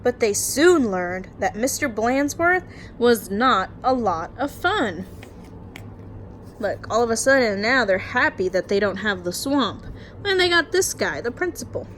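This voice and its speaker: A woman with a fairly high voice reads a picture book aloud like a teacher to her young class. She takes her time and adds casual, explanatory asides for the children. There is clear noise on the recording. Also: lively intonation, measured pace, a bit bright. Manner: addresses young children directly, encouraging and explanatory.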